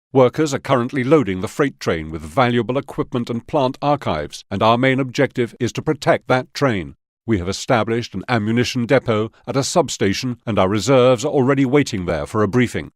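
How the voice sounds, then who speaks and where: An actor playing a sober, serious Soviet army officer who gives measured, instructive mission briefings in a war game. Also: male voice, fairly low pitch, dry recording, studio quality, lightly acted.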